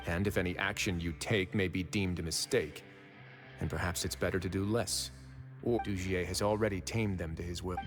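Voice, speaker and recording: A man acts as a shrewd video game fortress overseer with a low voice. He is composed and commanding, laying out each thought deliberately over music. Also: fairly even intonation, lightly acted. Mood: analytical. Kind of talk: scripted video game voice acting.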